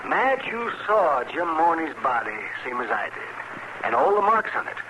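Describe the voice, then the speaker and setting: An actor playing a crotchety older frontier town doctor with an American accent, fussy, blunt and folksy in an old radio western. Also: male voice, fairly high pitch, very animated, normal speed. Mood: exasperated. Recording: noisy old radio drama recording.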